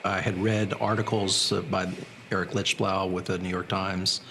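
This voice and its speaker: An American man giving a reflective documentary interview, speaking haltingly. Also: deep voice, level tone, bright, forceful tone, a little hiss or hum. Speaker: interviewee, former government attorney. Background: background music.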